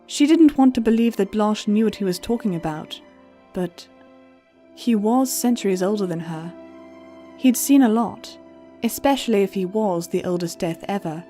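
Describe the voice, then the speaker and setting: Female audiobook narrator, mid-pitched and mellow, scripted fiction over faint music.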